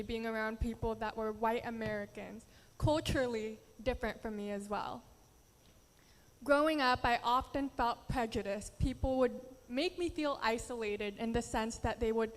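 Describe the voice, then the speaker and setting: A woman's voice: a little high, big-room sound. A young woman from the Philippines, Tagalog her first language, giving an earnest prepared speech as a pageant contestant.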